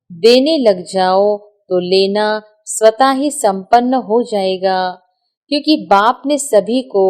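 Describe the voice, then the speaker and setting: A woman reads a spiritual discourse from a script. Her delivery is very slow and deliberate, broken into short phrases with pauses, at a medium pitch for a woman. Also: a little mellow. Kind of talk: scripted devotional reading.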